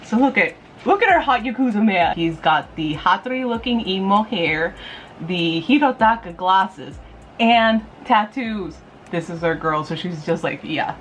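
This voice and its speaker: A woman's voice: mid-range voice, animated, measured pace, a bit bright, a little hiss or hum. A woman chatting casually to camera as a review vlog host, gushing, playful and informal. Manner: gushing, giggly, playful, informal with mild swearing.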